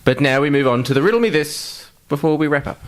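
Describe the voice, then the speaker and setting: A man's voice: a little high, expressive, fast talker. Man co-hosting a casual podcast. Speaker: podcast co-host.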